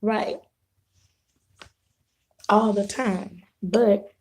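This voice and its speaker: Casting: hoarse woman vlogger, slow easy delivery, mellow dark tone, casual live chat. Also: medium pitch, fairly even intonation. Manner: unhurried, rambling, clears throat, frequent restarts. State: hoarse, losing her voice, sore throat. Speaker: vlogger hosting a live video.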